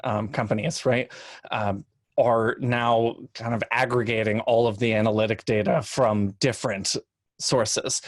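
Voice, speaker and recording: A man speaking as an expert panelist, explaining things in a halting way and often checking in with the listener. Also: mid-range voice, no room sound, clean studio sound. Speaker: podcast industry panelist.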